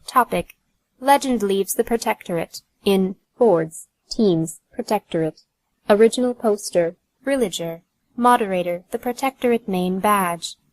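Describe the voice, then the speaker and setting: Mid-pitched female narrator, scripted audiobook reading, neutral, announcing headers. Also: measured pace, low-quality recording.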